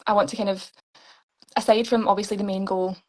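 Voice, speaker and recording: A young Scottish woman talks into a video call. She sounds earnest and upbeat and laughs easily, and her pitch stays fairly level and even throughout. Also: brisk. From Scotland. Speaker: pageant contestant. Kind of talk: live online interview.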